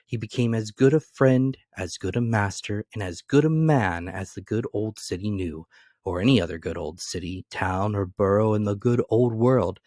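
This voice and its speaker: Deep-voiced man reading dramatically. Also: normal speed, no echo, clean studio sound. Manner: expressive, animated storytelling. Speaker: narrator. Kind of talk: dramatic reading of a classic novel.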